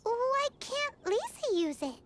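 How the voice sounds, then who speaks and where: A woman voicing a tiny, excitable fairy companion who talks about herself in the third person. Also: very high voice, slow, background noise, heavily acted. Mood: eager. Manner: speaks of herself in third person, bubbly and whiny. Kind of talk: game voice acting.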